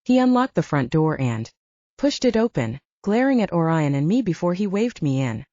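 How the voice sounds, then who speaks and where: A woman's voice: lowish voice, big pitch swings, normal speed, warm tone, dry recording. A woman narrating an audiobook in a dramatic, suspenseful scripted style, on slightly muffled audio.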